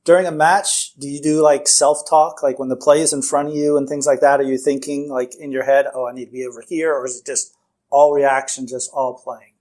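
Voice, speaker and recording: A man hosting a podcast, asking short relaxed questions in casual interview chat. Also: mid-range voice, brisk. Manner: short relaxed questions and frequent backchannel replies. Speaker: podcast host.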